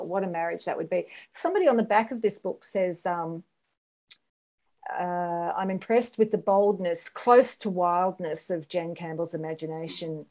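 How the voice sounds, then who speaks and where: A woman's voice: lowish voice, mellow. A woman hosting a casual author interview, talking in an effusive, gushing manner. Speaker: interviewer, host.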